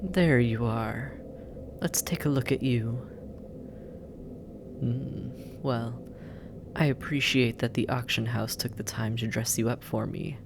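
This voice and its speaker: Slow, gravelly, teasing demon captor voiced by an actor. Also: mid-range voice, noisy background. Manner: slow, teasing, musing, often dropping into breathy murmurs. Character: sardonic, possessive demon captor, teasing yet exasperated. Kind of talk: intimate scripted audio roleplay addressed to listener.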